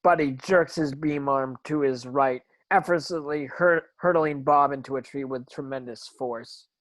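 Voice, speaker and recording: A man's voice: medium pitch, unhurried, fairly bright tone, no room sound. A man narrating screenplay stage directions in a plain reading, occasionally stumbling over words.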